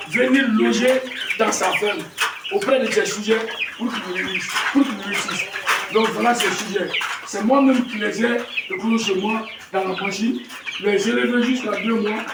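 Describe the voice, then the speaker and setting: A man's voice: high voice, steady tone, moderate pace, vocal fry. A man in his fifties with a Malian French accent, a poultry breeder talking proudly to camera on his farm, repeating himself and restarting his words. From Mali. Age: 50s. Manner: proud, repetitive, stumbling restarts. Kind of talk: farm tour talk to camera. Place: poultry farm with clucking hens. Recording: echoey, far from the mic, noisy farm.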